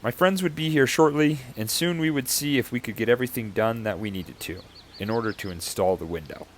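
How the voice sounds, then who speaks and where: A man's voice: medium pitch, brisk, slight background noise. A man narrating his own building project in a reflective, storytelling voice-over.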